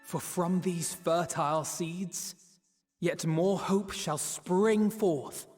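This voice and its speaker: An earnest young scholar from a fantasy game. He speaks in gracious, measured, poetic phrases, in long reverb with music behind. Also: high-pitched, a little mellow. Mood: solemn, hopeful. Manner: formal, measured, poetic diction. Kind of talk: scripted narration and dramatic dialogue.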